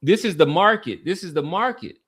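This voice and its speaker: Higher-pitched man talking sports, emphatic. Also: animated, brisk, a bit bright, husky. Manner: emphatic, repeats phrases. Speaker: sports talk podcast host. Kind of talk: casual sports discussion.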